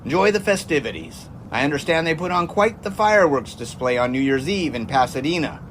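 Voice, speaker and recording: A man's voice: on the high side, animated, brisk, a bit bright, a little hiss or hum. A man playing a breezy, confident, chummy 1920s test pilot in an audio drama. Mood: jaunty. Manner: jaunty, chummy.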